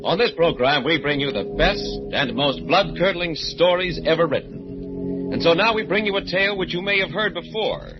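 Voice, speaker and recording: An actor as an ominous horror show announcer in dramatic 1940s radio style. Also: male voice, fairly high pitch, big pitch swings, moderate pace. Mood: ominous, theatrical. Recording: dull old radio recording. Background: loud dramatic music.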